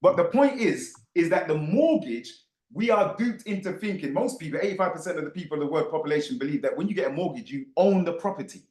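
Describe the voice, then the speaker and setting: High-pitched, animated man in an echoey room. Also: quick pace. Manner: emphatic, insistent, repeats phrases for effect. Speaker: host of an online book club discussion. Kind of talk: animated live group discussion.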